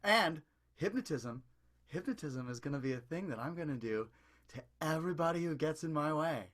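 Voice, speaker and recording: A man's voice: on the high side, animated, normal speed, a bit bright. A man voicing a rich, bumbling impresario who fancies himself a hypnotist, rambling smugly. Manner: rambling and self-satisfied. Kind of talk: improvised radio play.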